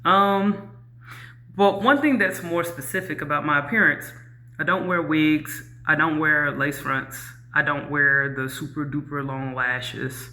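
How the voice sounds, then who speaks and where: A woman with a very low voice, talking candidly to camera in a reflective way, with many ums and you-knows. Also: unhurried, sharp tone. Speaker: YouTuber. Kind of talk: casual talk to camera.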